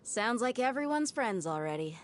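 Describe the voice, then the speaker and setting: A woman's voice: fairly high pitch, very animated, moderate pace, a little hiss or hum. A woman playing a confident young female desert mercenary in a video game, talking in a breezy, self-assured way. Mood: breezy, teasing.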